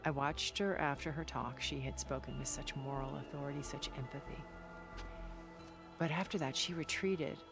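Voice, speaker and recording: An actress playing a composed, secretive older woman in a video game, speaking in a measured, formal, articulate way. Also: low-pitched, steady tone. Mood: wistful, admiring. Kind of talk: dramatic video game dialogue. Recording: background music under the voice.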